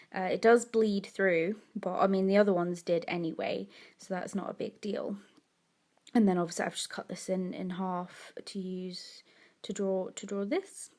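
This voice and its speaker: A woman's voice: mid-range voice, a little mellow. A woman, a bit sniffly from a cold, chatting in a rambling, self-correcting way while talking through her drawing. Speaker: art vlogger. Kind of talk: casual chat over a drawing.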